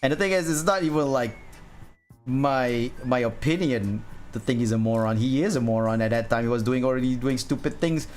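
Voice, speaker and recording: A man's voice: fairly quick, darkish tone, soft background music. A man in his forties chatting casually on a livestream, rambling and laughing often. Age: 40s. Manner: rambling, laughs often, mimics people in a high voice. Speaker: VTuber streamer. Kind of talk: casual chat while drawing on stream.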